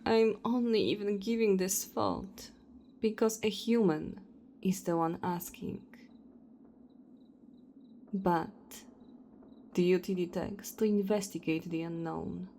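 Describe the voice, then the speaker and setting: A woman acting in an audio roleplay as a stern, menacing vampire hunter, speaking in a cold, deliberate, threatening way. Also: mid-range voice, steady tone, warm tone, slight background noise. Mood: cold, disdainful.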